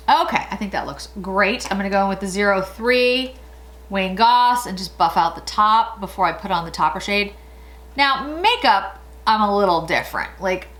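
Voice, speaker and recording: A woman's voice: medium pitch, fairly bright tone. Chatty woman in her forties. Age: 40s. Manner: chatty, rambling, laughs often. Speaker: beauty vlogger. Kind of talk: casual makeup tutorial chat.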